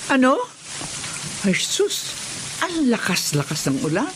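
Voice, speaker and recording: An emphatic, suspicious village woman with a fairly high, swooping voice and a Filipino accent. The radio drama has noisy ambience behind her. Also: huge pitch swings, takes it slowly, full character voice. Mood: exasperated.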